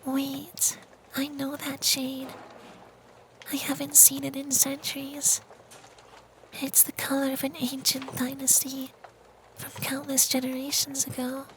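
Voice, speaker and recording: A performer voicing a gentle, sweet, ancient femboy vampire in a hushed, partly whispered ASMR fantasy roleplay. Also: high voice, a little hiss or hum, full character voice, low-quality recording. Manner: hushed, breathy near-whisper, lingering delivery.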